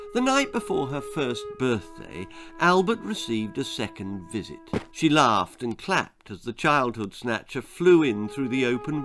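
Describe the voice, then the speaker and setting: A man reading a scripted children's horror-comedy story as its author, in a dramatic, expressive manner. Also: mid-range voice. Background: faint music.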